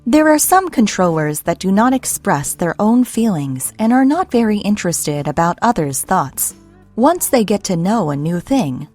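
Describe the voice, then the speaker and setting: A woman's voice: mid-range voice, darkish tone. A woman reading a scripted explainer narration in a formal, read-out manner. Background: background music.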